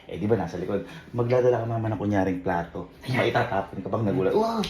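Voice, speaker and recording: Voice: sits low, very animated, quick pace, a crowd in the background. A Filipino host of a family vlog, chatting casually and animatedly in a teasing way, often repeating phrases. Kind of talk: casual chat. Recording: echoey, very noisy home video.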